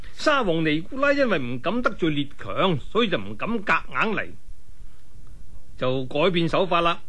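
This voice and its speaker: Animated high-pitched Cantonese male storyteller. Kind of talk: traditional Cantonese storytelling narration.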